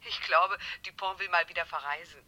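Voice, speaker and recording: Bright, sharp-voiced affectionate girlfriend. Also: fairly low pitch, steady tone, a little fast, poor audio. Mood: obliging, affectionate. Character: smuggler's female lover, affectionate, puzzled office employee. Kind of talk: German radio crime play acting.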